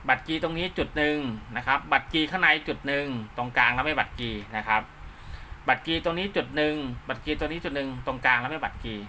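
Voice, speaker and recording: A man's voice: on the high side, quick pace, sharp tone, a little hiss or hum. A man giving an informal hands-on tutorial step by step, often checking that the listener follows. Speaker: instructor, channel host.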